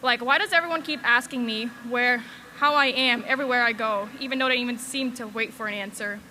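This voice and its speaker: Bright high young woman from Switzerland. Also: fast, a little hiss or hum. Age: young adult. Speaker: valedictorian. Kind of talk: graduation speech.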